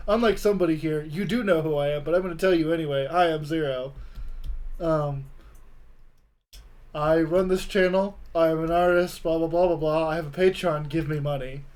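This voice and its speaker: Higher-voiced man, casual and sweary stream host. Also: steady tone, normal speed, a little mellow. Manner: sweary, joking. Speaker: channel host and tabletop player. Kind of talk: casual chat introducing a tabletop game stream.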